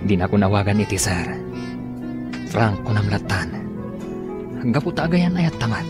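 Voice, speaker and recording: A man playing an apologetic, courting young gentleman with an Ilocano accent in a radio drama. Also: fairly low pitch, sing-song, moderate pace, loud music behind the voice. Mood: apologetic, wooing.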